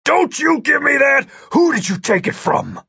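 An indignant male agent with a fiery temper, in audiobook style. The voice is pushed very high and forceful, with a gravelly edge. He bellows and whines in exasperation, swinging widely in pitch. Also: very animated, normal speed, dry recording, studio quality, heavily acted. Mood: furious. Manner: shouting, whiny, exasperated protests. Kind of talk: audiobook character voice.

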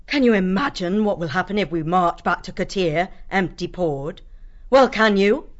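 A woman's voice: on the low side, lively intonation, normal speed, highs cut off, lightly acted. Woman as a bossy vixen army captain. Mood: scornful. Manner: commanding, sharp-tongued. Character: bossy, hot-tempered female fox army captain. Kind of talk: dramatised audiobook storytelling.

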